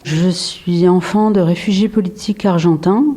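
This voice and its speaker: A woman in her forties, from France, a photographer answering interview questions in a reflective way, with frequent pauses, fillers and restarts. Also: fairly low pitch, fairly even intonation, warm tone, soft background music. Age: 40s. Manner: hesitant, many fillers and restarts.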